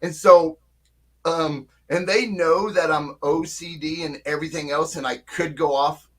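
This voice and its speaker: An older man with a slightly raised voice talks at length in a relaxed interview. He is emphatic and keeps checking in with his listener as he explains how his agency works. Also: on the high side, measured pace. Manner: talkative, emphatic, frequent "right?" check-ins. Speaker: marketing agency owner. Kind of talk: casual interview chat.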